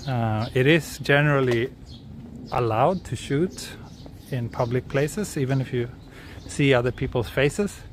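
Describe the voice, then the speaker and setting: A man from Sweden, speaking English as a second language, hosts a relaxed live walking stream outdoors and chats with viewers as he goes. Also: medium pitch, measured pace, a little hiss or hum. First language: Swedish. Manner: relaxed, answers viewer chat while walking. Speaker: vlogger walking through a city. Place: outdoors on city streets.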